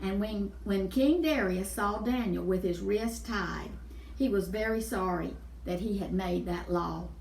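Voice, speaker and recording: A woman's voice: medium pitch, unhurried, vocal fry, a little mellow. Echoey woman reading a children's story. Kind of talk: children's Bible story read aloud. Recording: echoey room, distant mic.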